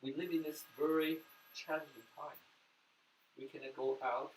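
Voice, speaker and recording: Man, earnest sermon, loud bursts and soft drops, roomy echo, faint noise. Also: fairly high pitch, fairly even intonation, moderate pace. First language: Mandarin. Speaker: pastor. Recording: echoey room, mic at a distance, faint noise.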